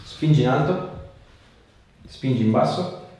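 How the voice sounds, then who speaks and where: A man's voice: medium pitch, lively intonation, measured pace, darkish tone. A man, working as a chiropractor, giving short encouraging instructions and questions while chatting casually with a patient. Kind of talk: casual hands-on treatment chat with a patient. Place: small bare treatment room, indoors. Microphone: camera a few steps away. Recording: very echoey room, distant mic, light noise.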